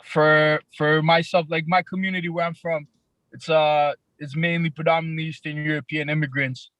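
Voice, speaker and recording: A raspy young man with a Toronto accent, a rapper guest talking in a laid-back, halting way with many fillers. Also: a little high, flat delivery, a bit bright, no room sound, rough recording. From Canada, grew up in Toronto. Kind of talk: casual podcast interview.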